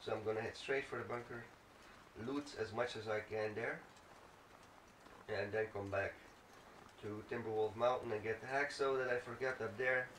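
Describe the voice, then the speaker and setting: A man's voice: on the low side, fairly even intonation, moderate pace, a bit bright. A man giving casual, rambling gameplay commentary, thinking aloud as he plays. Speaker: let's play gamer. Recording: roomy, distant mic, faint noise.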